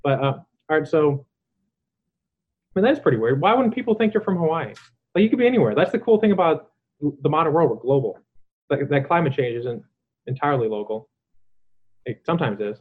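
A man's voice: a little high, lively intonation, brisk, pauses often. A man hosting a podcast interview, rambling in casual chat with many fillers and restarts. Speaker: podcast host. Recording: tinny phone-like line.

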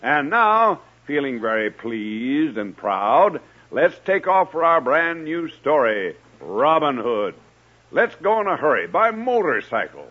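A man's voice: fairly high pitch, very animated, takes it slowly. A man hosting and telling stories on a 1950s children's radio show in a hearty, folksy way. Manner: hearty, folksy address to young listeners. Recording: dull old radio recording with music.

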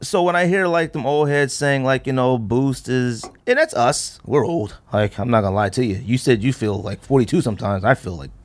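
A man's voice: medium pitch, animated, brisk, hardly any pauses. Slangy American man chatting casually. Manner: slangy, conversational. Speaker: podcast host. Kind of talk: casual chat.

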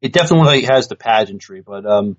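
A podcast co-host, a man with a fairly low voice, goes through news items in a casual chatty style. He can be a little halting. The sound is lo-fi, lacking crispness. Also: lively intonation. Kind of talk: casual news reading and chat. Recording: dull, lo-fi podcast audio.

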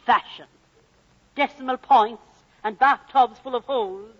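Husky, fretful Welsh mother. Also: on the high side, unhurried. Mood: scornful, fond. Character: fretful, plain-spoken, loving Welsh mother. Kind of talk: 1940s-50s radio drama acting. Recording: dull old radio recording.

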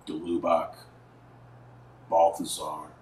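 Middle-aged male podcast host, deep voice, emphatic religious commentary, deliberate pauses, reverberant room, faint background noise. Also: monotone. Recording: roomy echo, distant mic, faint noise.

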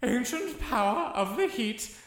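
A woman's voice: a little high, sing-song, measured pace, heavily acted. A woman playing a bumbling, exasperated spellcaster in a comic fantasy audio drama, shifting from grand incantation to flustered muttering. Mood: mock-grand, excited.

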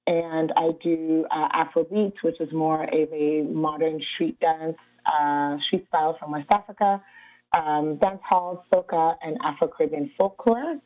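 A woman's voice: deep voice, fairly even intonation, unhurried, dry recording. Woman from ghana, dance educator, easygoing and laughing, phone-like sound. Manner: easygoing, laughs often, many ums. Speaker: guest, dance artist and educator. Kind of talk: casual interview answers. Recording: tinny phone-like line, dry.

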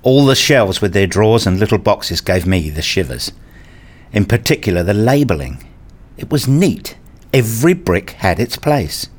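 A man's voice: on the low side, big pitch swings, normal speed. An actor playing an enthusiastic, curious grown man who narrates his visit in a scripted radio play. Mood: measured, reflective. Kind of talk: scripted first-person narration and acted dialogue.

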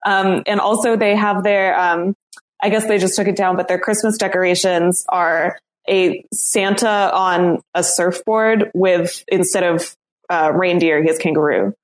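A woman's voice: fairly low pitch, fairly even intonation, unhurried. Giggly woman co-hosting a podcast. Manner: giggly and playful. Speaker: podcast co-host. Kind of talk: casual podcast chat.

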